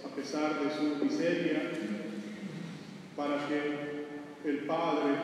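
Slow-preaching priest in an echoing church, man. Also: a little high, darkish tone. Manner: solemn, halting phrases with frequent pauses. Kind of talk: sermon. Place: large church, recorded from the congregation. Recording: echoey church from far off, noisy.

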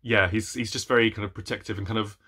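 Bright-voiced, low-pitched man, chatting. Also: quick pace, husky. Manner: animated, playful, rambling with self-deprecating asides. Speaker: book vlogger. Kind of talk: casual chat to camera, book review. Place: small room at home with bookshelves.

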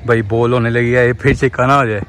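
A young man vlogging casually and calling a match excitably, repeating short calls. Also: medium pitch, fast talker, a little hiss or hum. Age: young adult. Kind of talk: casual vlog chat and match commentary. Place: outdoor netted cricket turf at night. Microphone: handheld phone at arm's length.

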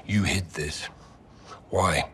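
A man's voice: low-pitched, steady tone, very slow, sharp tone, soft background music, heavily acted. A man playing a grim British military captain in a video game, blunt, sardonic and menacing. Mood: angry, sarcastic. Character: grim, defiant military captain confronting his general. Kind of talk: cinematic game acting.

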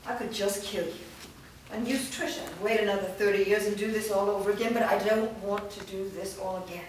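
A woman playing a ruthless female vampire master in a staged reading, speaking in a slow, threatening, deliberate way. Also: medium pitch, steady tone, slight background noise, close to an everyday voice. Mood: menacing. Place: large studio room with actors in a circle. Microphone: far camera. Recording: roomy echo from far off.

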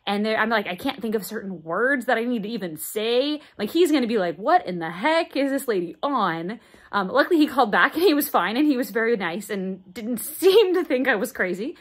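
A woman in her thirties talking into a phone held at arm's length in her bedroom, pausing often and searching for words. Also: a little high, expressive. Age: 30s. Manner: halting, searching for words, many fillers. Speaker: vlogger. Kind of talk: casual daily video diary. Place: bedroom indoors, moving boxes behind.

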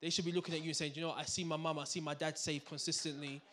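A man's voice: a little high, rapid-fire, slight background noise. Snelle Londense man met een zaalmicrofoon. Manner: animated, checks in with the crowd with "right? yeah?". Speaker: finance speaker. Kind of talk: lively seminar talk to an audience. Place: community hall with audience. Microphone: handheld microphone.

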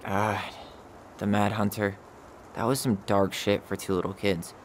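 A man's voice: lowish voice, flat delivery, measured pace, slight background noise. An actor playing a wry, nostalgic young American man in naturalistic, casual video game dialogue. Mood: wistful, reflective. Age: young adult.

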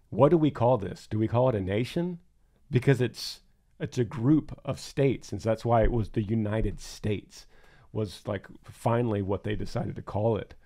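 A man's voice: mid-range voice, dark tone. A man co-hosting a podcast, talking in an earnest, emphatic and reflective way. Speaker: podcast co-host. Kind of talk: casual podcast talk.

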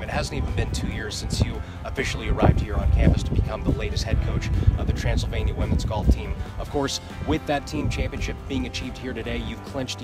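A man's voice: on the low side, recorded from a distance. A man interviewing a coach for a college sports network, with upbeat, formal broadcaster phrasing. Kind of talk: scripted sports interview questions. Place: outdoors on a golf course.

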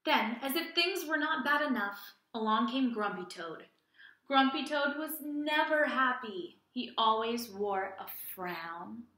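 A young woman reading a picture book aloud like a teacher, animated and asking children simple questions. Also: a little high, a little slow, roomy sound, low-quality recording. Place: small indoor room with plain wall.

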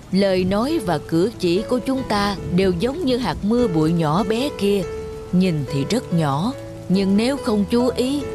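A woman voicing a patient, wise village father and teacher in a moral cartoon, speaking in a measured, instructive way. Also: medium pitch, natural voice. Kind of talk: cartoon dialogue, moral storytelling. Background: music.

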